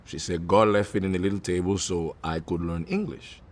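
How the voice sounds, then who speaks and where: Guy acting smooth cuban-born romantic in a radio play, low voice, tender and a bit teasing. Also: fairly even intonation, brisk. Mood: reminiscing. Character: suave Cuban-born man, successful performer, tender and teasing suitor. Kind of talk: comedic radio drama acting.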